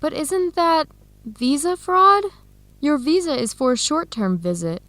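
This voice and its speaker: An actress playing a troubled, earnest young American scholar in a radio drama for English learners. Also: female voice, high voice, lively intonation, unhurried, fairly bright tone, dry recording. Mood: alarmed, disapproving. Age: young adult.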